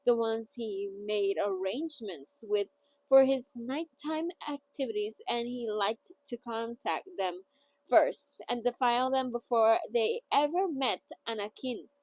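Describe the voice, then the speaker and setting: A woman's voice: fairly high pitch, a little mellow, no room sound, low-quality recording. A woman hosting a casual novel read-along who rambles chattily and often trips over words. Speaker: book reader and host.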